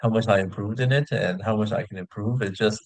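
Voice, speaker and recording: A man's voice: fairly low pitch, steady tone, a little fast, no echo. A man who hosts his own show, chatting casually as a guest. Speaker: video show host appearing as a guest. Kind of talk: casual chat.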